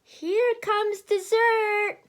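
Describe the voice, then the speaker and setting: A woman voicing a wicked, greedy male storybook wolf, sly and smug, with drawn-out gloating words. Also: very high-pitched, level tone, a little hiss or hum, voice pushed up, heavily acted. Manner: drawn-out, menacing, gloating delivery.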